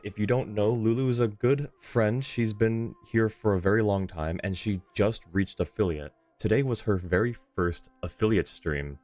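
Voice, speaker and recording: A man's voice: on the low side, darkish tone, no echo. A man hosting a livestream, chatting casually and informally with his viewers in a friendly, welcoming manner. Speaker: livestream host. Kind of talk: casual gaming stream chat.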